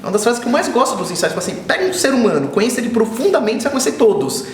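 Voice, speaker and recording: A man in his forties, born in Israel and raised in Brazil, speaking as an author in an interview, reflective and often repeating himself, growing animated when he tells stories. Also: extremely high pitch, very fast, echoey room. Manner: thoughtful, circling back and repeating phrases, animated when telling stories. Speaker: children's author, interview guest.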